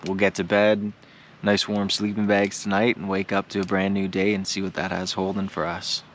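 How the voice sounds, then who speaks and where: A man's voice: low-pitched, monotone, normal speed. Casual man with noisy camera audio. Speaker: outdoor vlogger. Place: outdoors in the wilderness and inside a tent.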